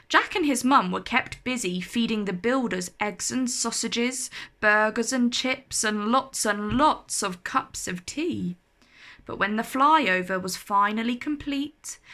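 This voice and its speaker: A woman reads a children's story aloud. Her delivery is slow, animated and carefully enunciated. The voice is bright and sits a little high.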